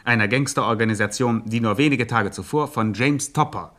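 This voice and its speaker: Man as a pompous, mid-pitched radio chronicler. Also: brisk, fairly bright tone, lightly acted. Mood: mock-solemn. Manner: formal, pompous announcing. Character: pompous mock-serious male chronicler of gangster history. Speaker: narrator. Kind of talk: mock-documentary scripted narration of a satirical radio drama.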